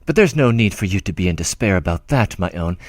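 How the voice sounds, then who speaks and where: A man's voice: low-pitched, expressive, fast talker, dry recording, clean studio sound, voice pushed down. A man reading a timid, doting old clerk. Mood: tender fretful. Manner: rambling, self-interrupting, tender. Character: humble, timid older male clerk, doting and fretful. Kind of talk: audiobook letter reading.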